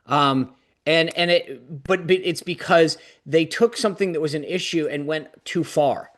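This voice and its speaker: A man's voice: on the high side, measured pace, a bit bright. A man on a political panel show, talking earnestly and restarting his phrases often. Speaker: political commentator. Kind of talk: panel discussion.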